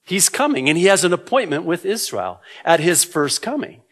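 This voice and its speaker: A middle-aged man, a native Arabic speaker, preaching as a pastor in a measured, explanatory way, repeating key words deliberately. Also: high-pitched, sing-song, fairly bright tone. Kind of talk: sermon, Bible prophecy teaching. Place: indoor church, at a lectern. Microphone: gooseneck lectern microphone.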